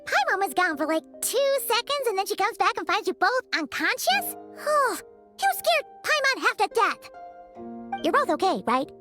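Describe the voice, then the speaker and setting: Casting: chirpy childlike fairy sidekick for a game, sky-high pitch, very animated, exclamatory. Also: female voice, moderate pace, soft background music, full character voice. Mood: fussy, relieved. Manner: speaks of self in third person, exclaiming. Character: tiny chirpy childlike fairy girl companion, excitable, dramatic. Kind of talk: animated video game voice acting.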